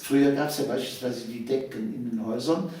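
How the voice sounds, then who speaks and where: A man's voice: medium pitch, steady tone, brisk, dark tone. An older man, reminiscing casually in conversation and often checking for agreement with short tags. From Cologne, Germany. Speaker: interview guest, author. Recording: very echoey room, distant mic.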